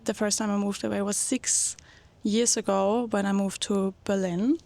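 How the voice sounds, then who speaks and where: Young woman from austria, lively chat, lots of vocal fry. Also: mid-range voice, moderate pace. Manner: lively storyteller, laughs often. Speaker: guest. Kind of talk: casual chat.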